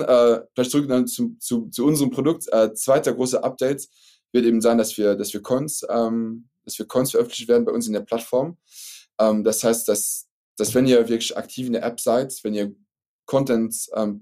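This is a man with a Luxembourgish accent whose first language is French, chatting as a podcast guest. His voice is dark and mellow. He pauses often and circles back with fillers and restarts as he explains. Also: mid-range voice. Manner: halting, many fillers and restarts. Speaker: startup founder guest. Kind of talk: casual podcast interview.

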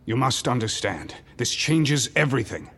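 An actor playing a secretive, courteous 1930s industrialist husband in a noir audio drama, speaking with measured formality. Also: male voice, mid-range voice, animated. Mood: tender, evasive. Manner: measured, hushed formality, evasive. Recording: echoey, reverberant room, faint noise.

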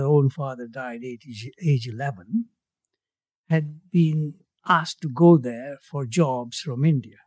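A man in his eighties from Tanzania, a guest in an interview, speaking in a measured way with his voice falling away at the ends of phrases. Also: medium pitch, a little mellow, no echo. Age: 80s. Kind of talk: reflective interview conversation.

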